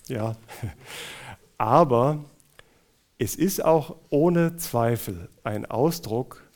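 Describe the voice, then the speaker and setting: A man with a Hessian German lilt introduces a guest speaker to a church gathering. He speaks in a personal, chatty way and turns to the listeners with light jokes and questions. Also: medium pitch. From Hesse, Germany. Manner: warm, personal, joking asides to the audience. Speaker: conference moderator introducing a speaker.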